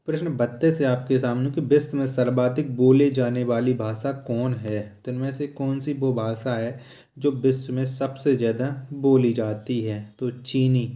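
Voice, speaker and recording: A man's voice: mid-range voice, warm tone. A man teaching a quiz lesson as an exam-prep teacher, in a didactic way that repeats questions and answers. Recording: echoey, roomy sound.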